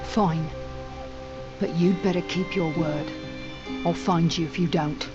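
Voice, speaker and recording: A woman's voice: on the low side, steady tone, takes it slowly. Feisty, stubborn common woman. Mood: wary. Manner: pushy, colloquial. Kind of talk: video game voice acting. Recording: slightly dull, music behind.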